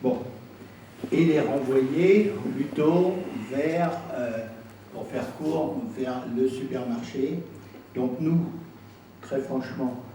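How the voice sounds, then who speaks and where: A man speaking as a council president, explaining himself in an insistent, deliberate way at a public meeting. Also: mid-range voice, mellow. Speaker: president of an intercommunal council answering questions. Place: indoor council meeting room. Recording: very echoey room, distant mic, faint noise.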